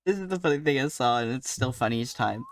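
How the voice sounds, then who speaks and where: A woman's voice: extremely low pitch, expressive, quick pace, slight background noise. A woman streaming a game, chatting to her audience in a rambling, slangy, giggly way as she reacts. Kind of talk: casual live reaction commentary to chat.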